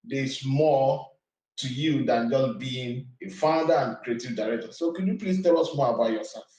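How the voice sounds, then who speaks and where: A man's voice: medium pitch, moderate pace, darkish tone, roomy sound, rough recording. A man hosting a podcast, introducing his guest and asking questions formally and politely. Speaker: podcast host. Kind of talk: interview hosting.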